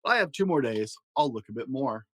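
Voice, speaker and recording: A man's voice: medium pitch, expressive, fairly quick, fairly bright tone. A man voicing a smart, bookish young prince from a storybook, curious and musing as he marvels.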